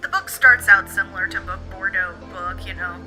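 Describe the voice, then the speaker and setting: A woman's voice: mid-range voice, normal speed, bright, forceful tone, faint music behind. A woman playing a chatty, hesitant police records contact, speaking informally and expressively. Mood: hesitant, intrigued.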